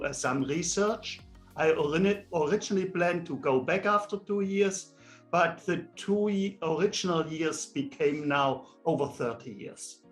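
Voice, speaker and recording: A German-born man with a German accent, a professor in his sixties, answering interview questions slowly and deliberately in an office. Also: fairly high pitch, fairly bright tone. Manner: slow, deliberate and measured. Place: indoor office with shelves and pictures.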